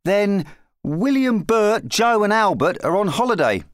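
A man's voice: high voice, sing-song, measured pace, no room sound, clean studio sound, pitched above the performer's own voice. A man voicing a small, eager, polite and plucky boy squire in a children's storybook. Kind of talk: children's storybook character reading.

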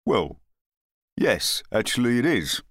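A man's voice: medium pitch, very animated, slow pace, a bit bright, dry recording, studio quality, exaggerated voice. A man voicing a small, bumbling knight who tries to sound big and bad in a children's story. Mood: sheepish. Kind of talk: children's storybook character reading.

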